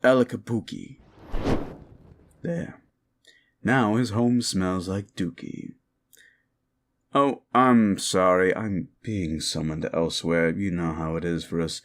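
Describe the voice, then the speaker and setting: A man playing a sly, mocking monster demon in an audio roleplay, with a theatrical, drawn-out, taunting delivery. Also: low voice. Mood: casual, dismissive. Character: sly, mocking classic monster demon, male, summoned to grant wishes. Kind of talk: scripted audio roleplay, ASMR.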